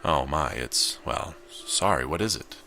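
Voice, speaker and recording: A man voicing a polite, patient mother who gently corrects her child in a visual novel. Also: very deep voice, animated, measured pace, faint music behind, exaggerated voice. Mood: gently chiding. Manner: patient, mildly chiding.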